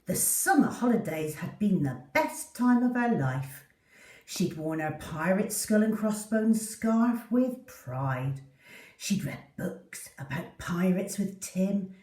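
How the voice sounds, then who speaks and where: A woman reading a children's story aloud in an expressive, deliberate way for young listeners. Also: lowish voice. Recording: roomy echo, distant mic.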